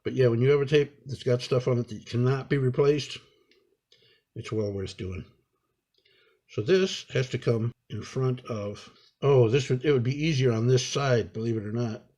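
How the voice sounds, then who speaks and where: A man with a husky, slightly rough voice and medium pitch gives hands-on instructions. He speaks in an unhurried, halting way, improvising as he talks through each step of his work. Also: a little mellow. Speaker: instructor demonstrating a repair. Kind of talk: hands-on tutorial narration, improvised.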